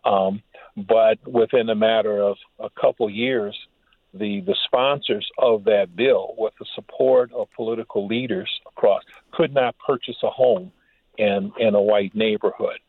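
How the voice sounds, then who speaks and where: Man, phone-like sound, lowish voice, measured and halting, formal scholarly interview guest. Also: fairly even intonation, dry recording. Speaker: historian and author, interview guest. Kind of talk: reflective interview talk. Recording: muffled phone-like line.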